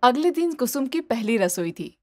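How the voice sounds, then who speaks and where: A woman's voice: high-pitched, sing-song, moderate pace, a little mellow, no room sound. A woman reading scripted narration for a storybook-style moral tale.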